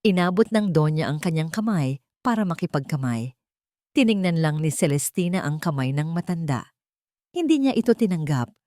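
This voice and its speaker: Woman, Filipino accent, story narrator, scripted dramatic delivery, lowish pitch, dry sound. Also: animated, normal speed, dark tone, no room sound.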